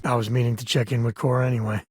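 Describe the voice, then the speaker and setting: An actor playing a wry, protective space-cowboy father in a video game, with a husky voice and a casual, folksy, sardonic way of talking. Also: medium pitch, flat delivery, racing, darkish tone, no echo, clean studio sound, lightly acted. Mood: wry, easygoing. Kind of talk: scripted game companion dialogue.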